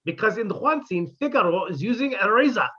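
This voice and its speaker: Man doing a husky, sardonic old professor. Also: sits high, expressive, a little fast, bright, forceful tone, full character voice. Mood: droll. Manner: droll, sardonic wisecracks. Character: sardonic, scheming older male professor. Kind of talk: 1950s radio sitcom acting. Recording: dull lo-fi sound.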